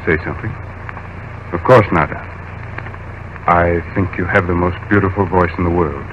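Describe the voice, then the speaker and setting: An actor playing a suave, calm male stage magician in an old radio drama, smooth and teasing. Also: low-pitched, moderate pace, dull audio, close to an everyday voice. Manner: smooth, urbane, teasing composure. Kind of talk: 1930s radio drama acting. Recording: old radio broadcast with hiss.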